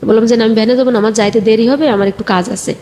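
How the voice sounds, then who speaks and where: A Bangladeshi woman telling her own life story conversationally, a little haltingly, quoting remembered exchanges. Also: on the high side, steady tone, mellow, slight background noise. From Bagerhat area, Bangladesh.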